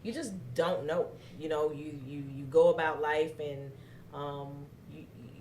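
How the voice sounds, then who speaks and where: Loud low-voiced woman host. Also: a little slow, echoey room, a little hiss or hum. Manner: loud voice that carries, lively storytelling, many fillers. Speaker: talk show host. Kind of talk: casual chat.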